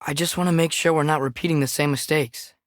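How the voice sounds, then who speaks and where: A man's voice: on the high side, fairly even intonation, quick pace, no echo, studio quality, natural voice. A young male actor voicing an earnest, compassionate teenage boy adventurer in a video game, with an American accent and a questioning, casual way of talking. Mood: curious, concerned. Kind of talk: naturalistic video game character acting.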